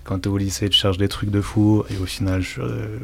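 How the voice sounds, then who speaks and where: A man's voice: lowish voice, little pitch movement, brisk, vocal fry, darkish tone, slight background noise. A young man answering as a guest rapper in casual, laid-back, slangy interview chat.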